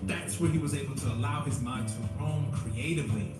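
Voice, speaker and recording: A man playing an earnest, excitable young student and performance artist in an audio drama. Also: fairly high pitch, fairly quick, close to an everyday voice. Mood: impassioned. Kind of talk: audio drama acting, speech-making and dialogue. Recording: roomy, distant playback with music behind.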